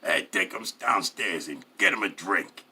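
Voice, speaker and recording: A man voicing a stout, gruff, commanding mafia boss in a read story, curt and offhand. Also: low voice, lively intonation, a little slow, pushed and bright, voice pushed down, heavily acted. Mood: curt approval.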